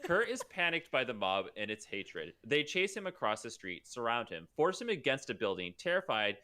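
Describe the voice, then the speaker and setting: A woman's voice: extremely low pitch, very animated, moderate pace, pushed and bright. A woman reading stage directions at a casual table read with friends, giggly and often breaking into asides.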